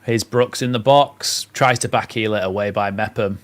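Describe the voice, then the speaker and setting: Man, northern English accent, slightly low voice, casual live match commentary, reads viewer comments. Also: normal speed. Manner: play-by-play calls mixed with reading out viewer comments. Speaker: football watchalong streamer.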